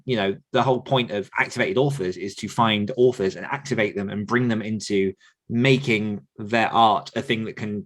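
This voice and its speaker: A man hosting a podcast casually, in an enthusiastic, effusive manner. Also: on the low side. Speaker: podcast host and author coach.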